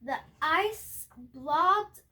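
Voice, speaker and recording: A high, childlike female voice reading a storybook at a very slow pace. The delivery is expressive and swings widely in pitch, with small stumbles, restarts and chatty asides to the viewers. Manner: expressive, halting with stumbles and self-corrections. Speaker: storybook reader. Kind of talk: read-aloud of a picture book with asides to viewers.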